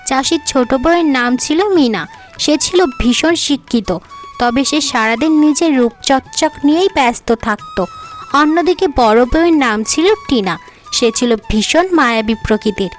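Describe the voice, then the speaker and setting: A woman narrating a cartoon fairy tale in a steady storytelling manner. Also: on the high side, moderate pace, faint music behind.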